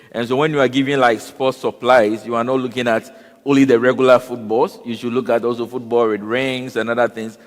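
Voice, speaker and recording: Halting man on a panel. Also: mid-range voice. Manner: halting, repeats words and fills with "you know" and "um". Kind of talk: panel discussion. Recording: long hall reverb.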